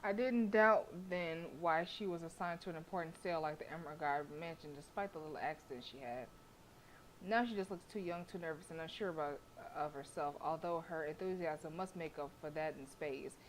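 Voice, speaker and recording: A woman's voice: low-pitched, fairly quick, slight background noise, low-quality recording. A woman streamer reading a visual novel aloud expressively, with casual, sassy asides. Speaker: game streamer.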